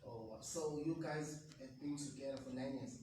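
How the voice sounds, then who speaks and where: An energetic South African man with a Zulu-speaking accent hosts a lively online talk show. His voice is hypey and upbeat. It is heard from a little way off in a very echoey room with light noise underneath. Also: medium pitch, normal speed, recorded from a distance. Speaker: host.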